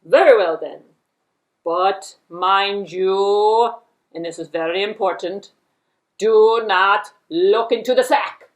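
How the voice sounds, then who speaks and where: A woman voicing a sly, courteous but bossy male fox in a puppet tale, with emphatic, drawn-out formal phrasing. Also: medium pitch, close to an everyday voice, low-quality recording. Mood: courteous, commanding.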